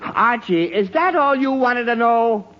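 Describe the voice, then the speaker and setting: A man's voice: extremely high pitch, animated, unhurried. A man playing a middle-aged, exasperated suburban father in an old-time radio sitcom, sputtering and long-suffering. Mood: weary, flustered. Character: exasperated, flustered suburban father trying to hear a ballgame. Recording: muffled, noisy old radio recording.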